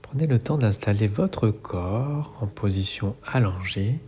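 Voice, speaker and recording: A man with a low, mellow voice guides a relaxation meditation. He speaks very slowly, in soothing, measured instructions. Soft music plays faintly behind him. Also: animated.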